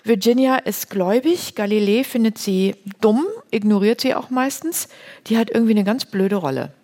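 A woman's voice: mid-range voice, expressive, moderate pace, dark tone. A woman co-hosting an informal, plain-spoken conversation about literature. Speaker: co-host.

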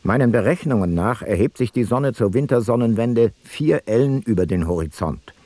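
An actor playing an ancient Greek explorer-scholar, reading his logbook in a measured, factual radio-play narration. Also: mid-range voice, animated, mellow, no room sound, natural voice. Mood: matter-of-fact.

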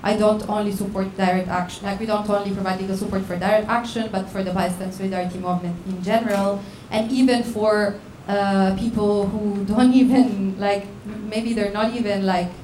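A woman on a panel speaking in an earnest, emphatic way, with some halting restarts. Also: medium pitch, steady tone, darkish tone. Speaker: panel speaker on legal support. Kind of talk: panel talk. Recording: echoey room with faint noise.

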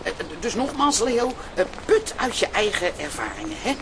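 A woman's voice: mid-range voice, huge pitch swings, moderate pace, low-quality recording. An actress playing a bossy older aunt with a theatre past, hesitating and repeating herself as she instructs, in a radio comedy drama. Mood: instructive, earnest. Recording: music under the voice.

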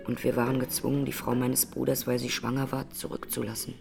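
An actress playing a grieving Armenian massacre survivor who testifies in a radio drama courtroom, plainly, solemnly and with measured words. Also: female voice, rumbling low, level tone, creaky, a little mellow, faint music behind, full character voice. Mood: grave, sorrowful.

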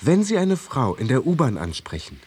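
A man's voice: medium pitch, huge pitch swings, normal speed, darkish tone, slightly rough. An actor playing a condemned prisoner in a German radio drama, a politely scheming, boastful womanizer who talks in formal, elaborate phrases like an earnest salesman. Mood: earnest, boastful, persuasive.

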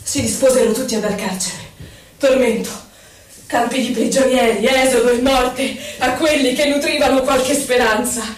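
A woman's voice: high-pitched, close to an everyday voice. Actress as solemn woman narrator, echoey and distant. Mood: grave, accusing. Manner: measured, declamatory, short weighted phrases. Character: solemn female poetic narrator of political betrayal and struggle. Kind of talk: theatrical poetry recitation for radio. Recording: echoey, distant, noticeable noise.

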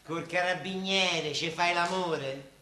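A blunt, suspicious Roman working man needles away in dialect. His voice is high for a man and extremely sharp and forceful. It is a radio play with a roomy echo. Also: fairly even intonation, slow pace, full character voice. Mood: insistent, needling. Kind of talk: naturalistic stage-style radio drama acting in dialect. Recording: roomy echoing sound, faint noise.

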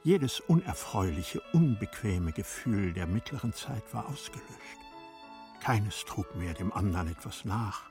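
A man narrating a tragic classic story in a radio play, in a solemn, measured, dramatic manner. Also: on the low side, lively intonation, darkish tone, soft background music.

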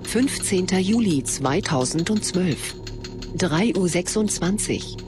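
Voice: mid-range voice, lively intonation, slow, music in the background. A performer playing an impersonal social media announcer in a radio drama, stating figures and dates in a clipped, matter-of-fact way.

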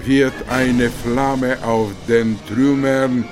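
Radio drama: grave prophetic god, extremely slow delivery, solemn, faint music behind. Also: male voice, medium pitch, level tone. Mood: grave prophecy. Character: solemn Buddha, a god foretelling universal death. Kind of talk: literary radio drama acting.

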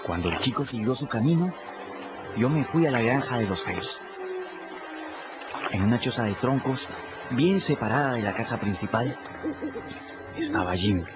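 Narrador masculino serio y reflexivo. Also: male voice, lively intonation, normal speed, long pauses. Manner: earnest, reflective, rises in pitch when moved. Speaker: narrator voicing a boy. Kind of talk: first-person radio drama narration. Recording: muffled radio audio with music.

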